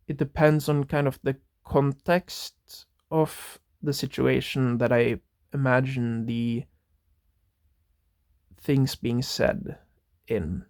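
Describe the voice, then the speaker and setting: A man's voice: medium pitch, fairly even intonation, takes it slowly, darkish tone, no room sound, clean studio sound. A young man, thinking aloud in a rambling solo monologue that drifts into tangents. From Norway. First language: Norwegian. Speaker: podcast host.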